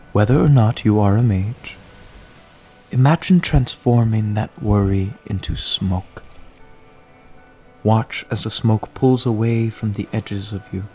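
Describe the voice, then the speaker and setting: A man performing a gentle, caring relaxation guide with a low voice. He leads a guided meditation very slowly, calm and reassuring, leaving room between phrases. Soft music plays behind him. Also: husky, heavily acted. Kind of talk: guided meditation, slow soothing script.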